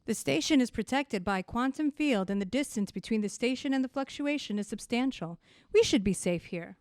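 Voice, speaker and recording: A woman playing a busy, matter-of-fact scientist in an audio drama, brisk in manner. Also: on the high side, expressive, darkish tone, dry recording, studio quality. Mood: brisk, assured. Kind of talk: scripted audio drama acting.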